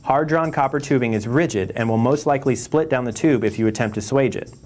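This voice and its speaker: A man delivers scripted instructional narration as a product presenter. His pitch is average for a man, and music plays faintly behind him. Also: brisk, a little mellow.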